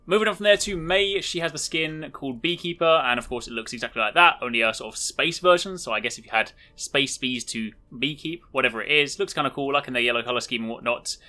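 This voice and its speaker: A man's voice: mid-range voice, fast talker, few pauses, pushed and bright, faint music behind. A man giving casual video game commentary as the host of a showcase video.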